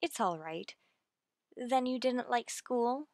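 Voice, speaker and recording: A woman's voice: on the high side, lively intonation, slow, a little mellow, no room sound, clean studio sound. An actress playing a genteel, sympathetic and inquisitive lady in an old-time radio drama, speaking politely and expressively. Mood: gentle coaxing.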